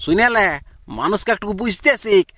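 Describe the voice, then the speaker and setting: Man acting high, sharp-voiced grumbling cartoon husband. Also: a little fast. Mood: bitter, scolding. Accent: Purulia Bengali. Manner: complaining, scolding. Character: put-upon, grumbling village husband, a vegetable seller. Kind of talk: amateur cartoon dialogue.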